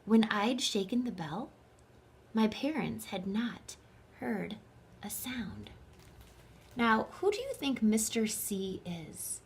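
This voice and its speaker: A woman reading a children's story aloud with dramatic, deliberate phrasing and questions put to her listeners. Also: medium pitch, big pitch swings, slight background noise. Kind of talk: expressive children's read-aloud with asides to the listeners.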